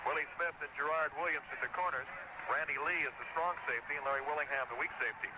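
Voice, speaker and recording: A man's voice: on the high side, fairly even intonation, rapid-fire. An American man calling a live football game on radio in clipped, present-tense play-by-play phrases. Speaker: radio play-by-play sports announcer. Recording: very noisy old 1970s radio broadcast.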